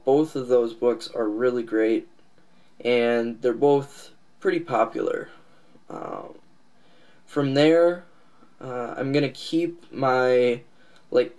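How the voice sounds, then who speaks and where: A congested man with a nasal voice, getting over a cold, giving a casual, halting update to his viewers. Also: medium pitch, a little mellow. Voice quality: nasal, stuffed-up. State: congested, recovering from a cold and lost voice. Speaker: comics channel host.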